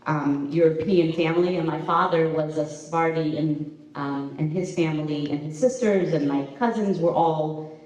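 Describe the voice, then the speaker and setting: A middle-aged woman from the Boston area, a guest at a live community interview, tells stories from her childhood with many pauses. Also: deep voice. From Boston area, USA. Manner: warm storytelling, many um pauses. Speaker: interview guest, preschool director. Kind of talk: casual conversational interview. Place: community center event room. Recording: echoey room, far from mic, dull sound.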